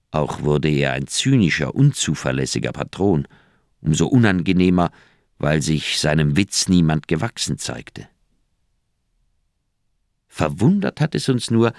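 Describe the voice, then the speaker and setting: An uneasy older doctor in a radio crime drama. His low voice swings expressively through the phrases, with a creaky edge. He tells things deliberately and reflectively, in a dry, close recording. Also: dry recording, full character voice. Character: troubled older male Swiss surgeon, reflective and uneasy.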